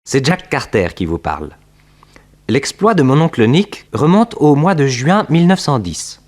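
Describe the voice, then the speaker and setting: A man's voice: mid-range voice, big pitch swings, unhurried, darkish tone. An actor playing a man who theatrically narrates his uncle's exploits in a 1960s French radio serial. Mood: storytelling.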